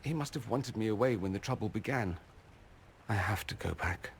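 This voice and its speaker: A man's voice: fairly low pitch, animated, normal speed, creaky, a little hiss or hum. A man playing a witty, theatrical mage ambassador in a video game, arch, eloquent and affectionate. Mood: subdued, grieving. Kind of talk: video game cutscene acting.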